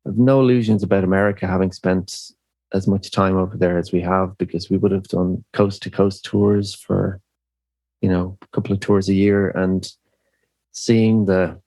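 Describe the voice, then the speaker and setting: Low-voiced Irish man, halting and thoughtful. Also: fairly even intonation, warm tone, no echo. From Ireland. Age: middle-aged. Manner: thoughtful, halting, many fillers and restarts. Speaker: musician guest. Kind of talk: reflective podcast interview.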